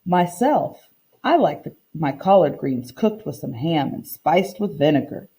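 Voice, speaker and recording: Woman acting a kindly old storybook lady, proud, folksy, unhurried, mellow tone, lively pitch. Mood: fond, easygoing. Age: older. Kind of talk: children's picture book read-aloud.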